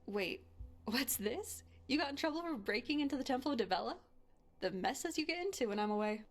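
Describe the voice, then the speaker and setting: A woman's voice: high-pitched, animated, brisk. Woman voicing a tough sarcastic lady adventurer from a fantasy game, caring underneath. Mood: sarcastic, exasperated. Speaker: voiced follower in a game mod. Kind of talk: fantasy video game character dialogue.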